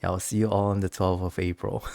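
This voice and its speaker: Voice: low voice, flat delivery, moderate pace, gravelly, darkish tone, no echo. A streamer chatting casually to viewers over gameplay in a giggly, rambling way, reading game text aloud at times.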